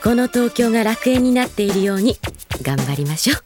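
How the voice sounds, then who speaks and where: Casting: woman as elegant anime team leader, big-sister type, higher-pitched, poised, measured, ladylike. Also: lively intonation, music in the background, lightly acted. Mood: calm, resolved. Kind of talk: anime audio drama acting.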